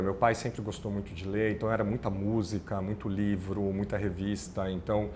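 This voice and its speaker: A man's voice: lowish voice, darkish tone, slight background noise. A middle-aged man with a São Paulo accent, a podcast guest chatting casually and rambling with lots of self-corrections. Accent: São Paulo (ABC paulista) Brazilian Portuguese. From São Paulo ABC region, Brazil. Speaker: podcast guest, communications manager. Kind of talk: casual interview chat.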